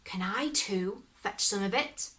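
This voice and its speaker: A woman's voice: medium pitch, animated, slow pace, a bit bright. A woman voicing a greedy fairy-tale king, eager for gold.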